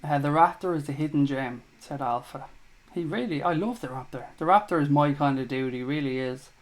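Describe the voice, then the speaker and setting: Scouse guy doing a live fan show, reads out chat, swears a lot, kinda high voice. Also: fairly quick. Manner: sweary, blunt, jokey. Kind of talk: casual livestream chat reading comments.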